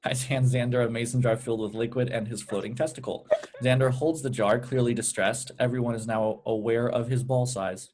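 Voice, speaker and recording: A man's voice: medium pitch, fairly even intonation, brisk, a little mellow. A man reading stage directions as scripted narration at a table read.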